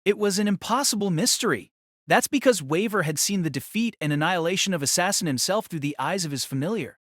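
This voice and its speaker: Higher-voiced male narrator, very dry sound. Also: lively intonation, fast, a bit bright, no room sound. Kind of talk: scripted dramatic narration of a fantasy novel.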